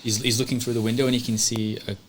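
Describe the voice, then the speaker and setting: A man's voice: mid-range voice, little pitch movement, quick pace. A man casually describing his character's moves as a player in a tabletop roleplay game. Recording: noisy room ambience.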